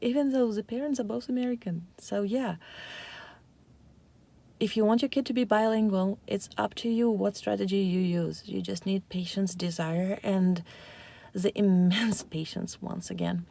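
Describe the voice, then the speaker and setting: Mellow-voiced woman. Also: mid-range voice, fairly even intonation, moderate pace, gravelly. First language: Russian and Ukrainian. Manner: chatty, unscripted, lots of fillers. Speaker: parenting channel host. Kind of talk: casual talk to camera.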